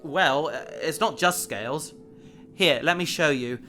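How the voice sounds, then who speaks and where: A man's voice: on the high side, measured pace, close to an everyday voice. A man playing an earnest, dramatic young human who is turning part alien, hesitant and polite, in an audio drama. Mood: awkward, sheepish. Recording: faint music behind the voice.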